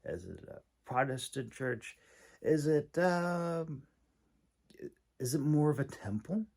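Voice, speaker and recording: Slow, halting man thinking aloud. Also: on the high side, expressive, creaky, darkish tone. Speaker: host critiquing a writer's manuscript. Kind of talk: casual spoken critique, unscripted.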